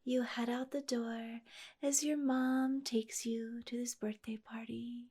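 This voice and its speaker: A woman leads a guided meditation in a soft, mellow voice. Her delivery is very slow and even, with little melodic movement. Phrases trail off gently into breathy hush, like a soothing bedtime storyteller. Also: on the high side.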